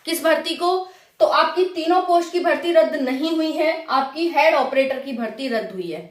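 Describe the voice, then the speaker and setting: A woman's voice: high-pitched, moderate pace, echoey room. Woman explaining news to viewers. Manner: conversational, often checking with rhetorical questions. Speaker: host of a government exam news channel. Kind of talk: explainer talk to viewers, informal.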